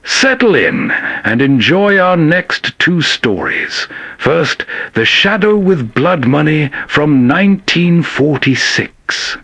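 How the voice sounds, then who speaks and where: A man hosting an old-time radio compilation with soothing, unhurried scripted narration for bedtime. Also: fairly high pitch, lively intonation, a bit bright, dry recording.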